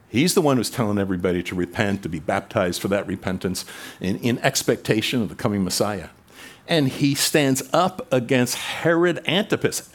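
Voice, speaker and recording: A man preaching a sermon in a conversational way, rising in pitch and volume for emphasis. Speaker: pastor.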